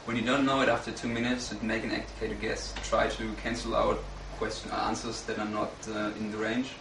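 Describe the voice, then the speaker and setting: Young man, gravelly low monotone voice, distant echoey mic, background noise. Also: a little fast. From Germany. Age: young adult. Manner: hesitant, frequent uh fillers, slight non-native grammar. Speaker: student panelist.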